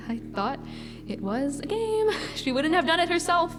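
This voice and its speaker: A woman's voice: extremely high pitch, very animated, a little slow, fairly bright tone, full character voice. A woman acting a jittery, evasive suburban wife and mother in classic radio drama style. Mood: jumpy, evasive. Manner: jittery, repeating herself. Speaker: actress in a live stage radio play. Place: on stage in a school hall. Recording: echoey stage hall, music behind.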